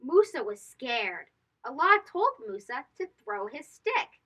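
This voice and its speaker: Animated high-pitched woman reading to children. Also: a little slow. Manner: animated, addressing young listeners directly. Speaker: host of children's read-aloud show. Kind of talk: expressive storybook narration for children.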